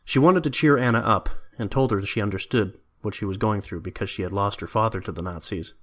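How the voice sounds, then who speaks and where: A man's voice: on the low side, brisk. A man reading scripted story narration as the narrator of an audio drama.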